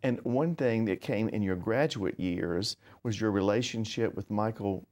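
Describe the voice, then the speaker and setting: A man with an American accent hosting a conversational interview, speaking in a deliberate, slightly formal, phrase-by-phrase manner. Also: fairly low pitch, darkish tone. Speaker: interview host.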